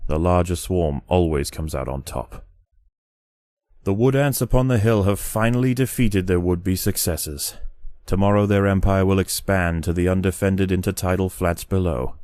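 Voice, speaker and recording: A man narrating a game in a scripted nature-documentary style, measured, grave and dramatic. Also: deep voice, darkish tone, no echo, clean studio sound.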